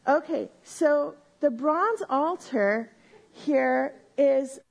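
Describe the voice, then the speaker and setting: A woman teaching a Bible lesson to a live group on a microphone, explaining slowly and step by step and often checking in with "right?". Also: sits high, vocal fry, low-quality recording. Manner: says she has a loud voice. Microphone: handheld or stand mic.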